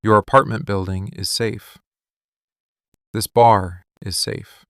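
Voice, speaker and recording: A man acting a possessive, menacing mafia boss in love, speaking slowly, deliberately and intimately in an ASMR roleplay. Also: on the low side, steady tone, dry recording, clean studio sound. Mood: protective, commanding. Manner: slow, deliberate, intense, hushed confiding delivery. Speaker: ASMR voice actor. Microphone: close studio microphone, dry.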